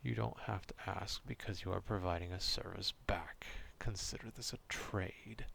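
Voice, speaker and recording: A man playing a gruff, distrustful beast who guards his forest, grumbling and haughty in an ASMR roleplay monologue with a low growl. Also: fairly even intonation, moderate pace, fairly bright tone, slight background noise, heavily acted. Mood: grudging, stern.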